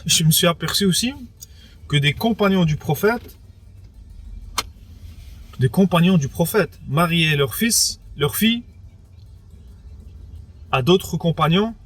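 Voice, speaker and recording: A man's voice: on the high side, a bit bright. A young French man of Moroccan background, giving a casual personal testimony. He hesitates a lot, repeats words and leans on fillers. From France, of Moroccan background. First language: Arabic speaker as well. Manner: hesitant, repeats words, many fillers like "entre guillemets" and "quoi". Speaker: interviewee.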